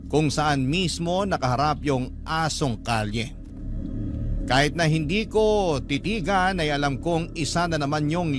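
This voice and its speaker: A man's voice: on the high side, close to an everyday voice. A Filipino man playing a young narrator who earnestly recounts his ghostly encounters in a dramatic radio drama.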